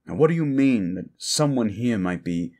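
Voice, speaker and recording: A man's voice: fairly low pitch, animated, moderate pace, a little mellow. A man playing an informal, puzzled, down-to-earth sidekick in a fantasy audio roleplay. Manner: informal, questioning.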